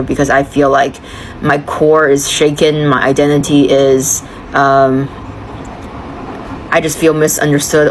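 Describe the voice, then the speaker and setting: A woman's voice: sits low, slight background noise, poor audio. A woman from China talking candidly in a thoughtful, searching, confiding monologue. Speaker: vlogger sharing personal reflections.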